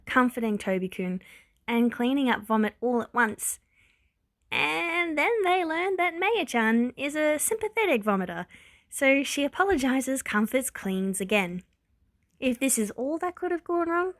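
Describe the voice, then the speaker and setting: Woman, story narrator, slightly high pitch, expressive wry delivery, dry close recording. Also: no echo. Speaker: podfic narrator reading fan fiction.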